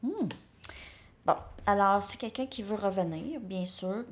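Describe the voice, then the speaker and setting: A woman's voice: medium pitch, unhurried, a little hiss or hum. Chatty woman reading tarot cards. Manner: chatty, thinks aloud, repeats words, drops into soft asides. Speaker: tarot card reader. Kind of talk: casual live card reading.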